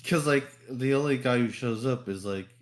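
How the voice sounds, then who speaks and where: A man hosting a casual podcast chat in a hesitant, halting way. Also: medium pitch. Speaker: podcast host.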